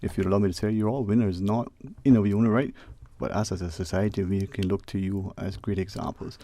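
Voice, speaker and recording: Male radio host, low voice, gravelly fry, rambling casual chat, restarts phrases. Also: level tone, fast talker, dark tone. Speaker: radio talk show host. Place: radio studio.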